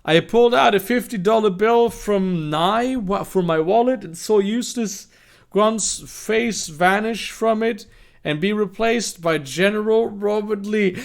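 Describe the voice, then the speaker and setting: A man's voice: sits high, unhurried, vocal fry, pitched above the performer's own voice. A man voicing an earnest heroine who narrates her battle in a theatrical, declamatory way. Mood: dramatic, earnest.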